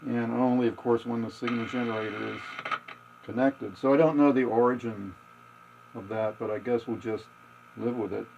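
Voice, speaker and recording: A man giving a casual hands-on technical demonstration, thinking aloud in a halting, matter-of-fact way. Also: mid-range voice, fairly even intonation, vocal fry, a little mellow, slight background noise. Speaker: radio repair hobbyist demonstrating. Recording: dull lo-fi home video audio.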